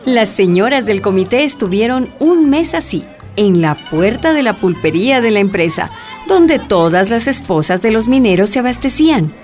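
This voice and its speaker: A woman narrating a scripted radio drama in a measured storytelling manner. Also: fairly high pitch, expressive, darkish tone, soft background music, some chatter behind.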